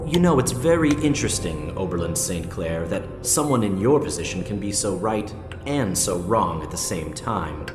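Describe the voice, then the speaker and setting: Very deep, menacing male captor. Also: expressive. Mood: coolly menacing. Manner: deliberate, taunting, formal. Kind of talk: sci-fi audio drama acting. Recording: echoey reverberant space, music behind.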